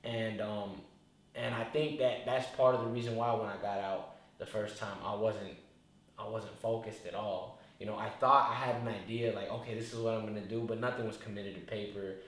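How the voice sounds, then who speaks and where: A man's voice: lowish voice, fairly even intonation, moderate pace, fairly bright tone. A young man in his twenties, a rapper talking through his story in a laid-back, rambling way, with many restarts. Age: 20s. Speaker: rapper, interview guest. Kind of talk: casual reflective interview talk. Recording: very echoey room, faint noise.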